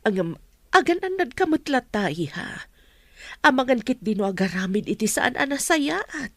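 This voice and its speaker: A woman's voice: fairly high pitch, big pitch swings, moderate pace, a bit bright, dry recording, clean studio sound, heavily acted. Concerned Filipino mother. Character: concerned, gently cautioning middle-aged mother. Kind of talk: Ilocano radio drama acting.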